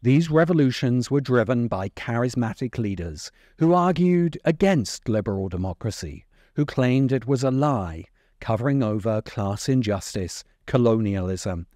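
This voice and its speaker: A man in his forties narrating a scripted video essay in a deliberate, emphatic way, close to the microphone. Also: medium pitch, expressive, darkish tone, no room sound. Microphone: close desk microphone. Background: music under the voice.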